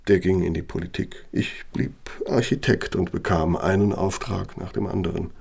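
An actor in a radio play as an aging, self-justifying architect with a Nazi past, speaking deliberately and emphatically in a gravelly, husky voice. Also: male voice, low voice, steady tone, darkish tone, heavily acted. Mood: genial, boastful. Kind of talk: German radio play monologue and dialogue.

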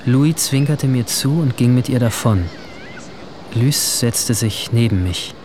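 A man's voice: mid-range voice, slow, background noise, natural voice. A young man playing a brooding teenage boy who narrates his own story in subdued, introspective short phrases. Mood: withdrawn. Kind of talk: first-person scripted drama narration. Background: music.

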